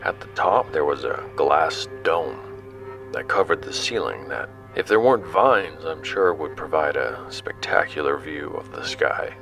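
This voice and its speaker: Sci-fi audio drama narrator: male actor as self-deprecating explorer, very low register, conversational storytelling over soft music. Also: expressive, unhurried, exaggerated voice. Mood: hushed wonder. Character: young male explorer recounting his expedition, awed and casual. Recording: music under the voice.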